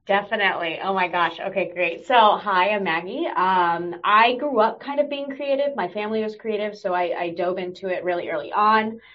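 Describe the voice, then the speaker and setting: A woman's voice: mid-range voice, normal speed, hardly any pauses. A woman from Texas, a producer and actor guest, chatting casually with many fillers. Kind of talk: casual interview chat. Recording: dull, lo-fi audio.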